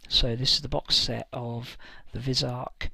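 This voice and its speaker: A man talks casually to his viewers about building army lists, pausing often to gather his thoughts. His voice has a gravelly fry, yet a bright, forceful edge. The recording is close and dry, with no room sound around him. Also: medium pitch, level tone, no echo. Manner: hesitant, many fillers and pauses. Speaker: tabletop wargaming channel host. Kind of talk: casual list-building talk to camera.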